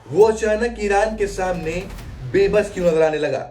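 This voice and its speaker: A man's voice: high voice, moderate pace, a little mellow, far from the mic, slight background noise. A man delivering a scripted news explainer in a dramatic manner, posing rhetorical questions. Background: background music.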